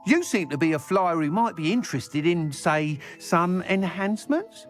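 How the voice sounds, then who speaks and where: An actor playing an eager, chatty shopkeeper and inventor, talking in enthusiastic sales patter. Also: male voice, sits high, expressive, normal speed, soft background music. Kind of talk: scripted game dialogue.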